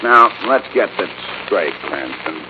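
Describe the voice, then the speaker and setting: An actor playing a stubborn, sarcastic police commissioner, blunt and insistent, in a 1940s radio crime drama. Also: male voice, low-pitched, big pitch swings, measured pace. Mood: exasperated. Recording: muffled, noisy 1940s radio recording.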